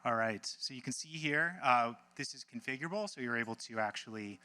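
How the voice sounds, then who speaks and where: Guy doing a live hackathon demo, really bright punchy voice, breezy and informal, bit wry. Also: medium pitch, animated, brisk. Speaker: hackathon team member presenting a demo. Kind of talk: live product demo presentation, casual.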